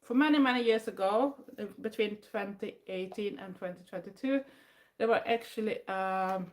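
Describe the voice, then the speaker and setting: An older woman with a Swedish accent from the southwest of Sweden chats casually to the camera. She speaks English as a second language, hesitating with frequent fillers and restarting phrases. Her voice sits at an ordinary middle pitch. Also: normal speed. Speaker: vlogger. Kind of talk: casual chat.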